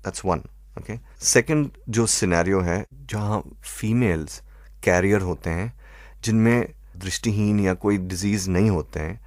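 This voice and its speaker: Low-pitched Indian man explaining methodically. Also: dry recording, studio quality. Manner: measured, methodical, numbering points, mixing in English phrases. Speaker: eye doctor, expert guest. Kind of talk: explanatory interview answers.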